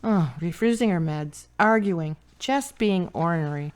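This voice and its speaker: A woman's voice: lowish voice, measured pace, lightly acted. A woman acting a weary, dryly teasing big sister in an audio drama.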